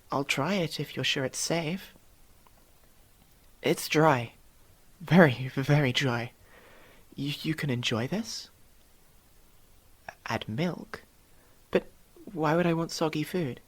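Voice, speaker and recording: A performer voicing a curious, proper young elf mage student in an ASMR roleplay, inquisitive and a little formal, with hesitant stammers. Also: medium pitch. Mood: doubtful. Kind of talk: ASMR roleplay, one-sided dialogue with the listener.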